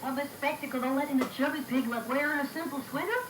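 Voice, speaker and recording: Woman as Applejack, high-voiced storytelling pony, echoey tape. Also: fast, natural voice. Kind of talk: scripted fan-fiction narration. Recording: echoey, noisy reel-to-reel tape.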